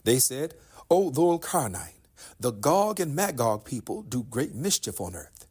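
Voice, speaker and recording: Male reader, medium register with some huskiness, for solemn and formal scripture narration, delivered in a measured, declamatory way. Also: medium pitch, a little mellow, slightly rough. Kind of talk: solemn scripture recitation in English translation.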